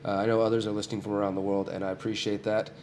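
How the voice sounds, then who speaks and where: A man with a fairly low voice hosts a live stream while fighting a cold. He talks to his viewers in an earnest, direct way, restarting phrases as he goes. Faint room noise sits behind him. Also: little pitch movement, very fast, a little mellow. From United States. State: has a cold. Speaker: host of a weekly live stream. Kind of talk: casual live-stream commentary.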